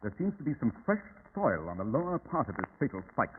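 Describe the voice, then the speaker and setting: An actor playing a shrewd, composed British detective in an old-time radio drama, speaking with precise, formal authority. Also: male voice, medium pitch, very animated, fast, close to an everyday voice. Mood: measured, analytical. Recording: tinny, band-limited old radio recording with hiss.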